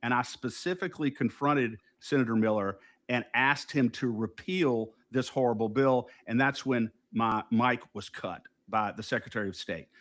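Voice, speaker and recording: A man's voice: medium pitch, moderate pace, hardly any pauses. A man, co-hosting a political talk show, talking emphatically and indignantly with frequent restarts. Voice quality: loud voice. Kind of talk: casual political discussion.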